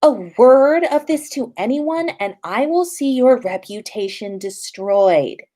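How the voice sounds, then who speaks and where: Snobbish teen schoolgirl for a storybook, played by a woman, indignant, flustered, pitched up with big swings. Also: expressive, slow, mellow. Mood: threatening. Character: haughty, easily flustered teenage pureblood schoolgirl.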